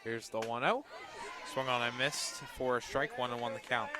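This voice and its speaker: A man doing live radio play-by-play of a softball game in short, present-tense calls that lift on the plays. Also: medium pitch, fairly even intonation, moderate pace, noisy background.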